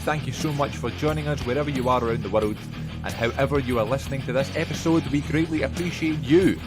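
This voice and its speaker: A young man co-hosting a podcast in quick, upbeat casual chat. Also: mid-range voice, music in the background. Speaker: podcast co-host.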